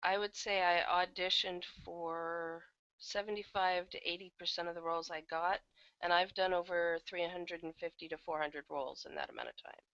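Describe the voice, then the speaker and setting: Woman w a lowish voice chatting candidly in an interview, dry dull lo-fi call audio. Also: fairly even intonation, normal speed, fairly bright tone, dry recording. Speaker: guest, audio drama producer and casting director. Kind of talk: casual interview chat about their work. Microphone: computer microphone on an online call.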